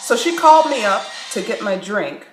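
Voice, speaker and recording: A woman's voice: mid-range voice, moderate pace. Candid, wry woman vlogger in echoey room. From Chicago, US. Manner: candid, wry, laughs often, animated asides. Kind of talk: casual storytelling monologue. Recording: echoey room, faint background music.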